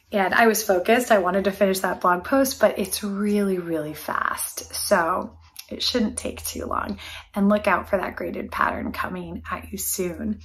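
A woman's voice: medium pitch, a bit bright. A woman in her forties chatting casually about her knitting projects, upbeat and thinking aloud, with frequent laughs. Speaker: knitwear designer vlogger. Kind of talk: casual show-and-tell chat. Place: sunny room by a window.

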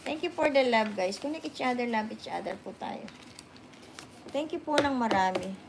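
Sleepy high-voiced woman in her sixties. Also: lively intonation, moderate pace, gravelly, background noise. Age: 60s. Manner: chatty, mixes languages, repeats thanks to viewers. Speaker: livestream host. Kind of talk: casual livestream chat reading comments. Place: indoor room with sofa. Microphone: phone camera at arm's length.

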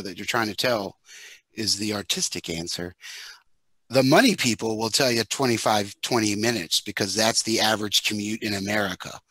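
Bright, forceful man, low-pitched, halting delivery. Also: dry recording, low-quality recording. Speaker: podcast producer, panelist. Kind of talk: casual advice on a video panel. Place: living room at home, indoors. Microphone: headset on video call.

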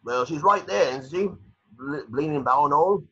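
A shifty street peddler acted by a man, indignant and always defending himself. The voice is husky and forceful, grumbling colloquially in a script reading over a muffled call. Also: a little high, animated, normal speed, pitched above the performer's own voice, exaggerated voice. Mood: defensive, grumbling. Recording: muffled video-call audio.

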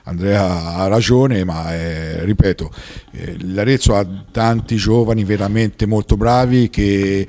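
A man in his fifties, a guest on a football talk show, giving measured, emphatic opinions into a handheld mic in a studio. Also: on the low side, steady tone, a little mellow. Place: studio with dark curtain. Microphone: handheld mic held by the host.

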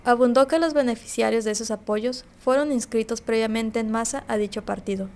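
A woman news reporter reading scripted narration in a steady, formal way. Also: on the high side, brisk.

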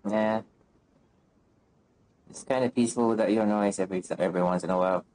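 A man's voice: fairly low pitch, fairly even intonation, unhurried, noisy background. A man in his thirties giving casual, laid-back gameplay commentary as a streamer, muttering short asides. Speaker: game streamer. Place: indoor room at home, on webcam.

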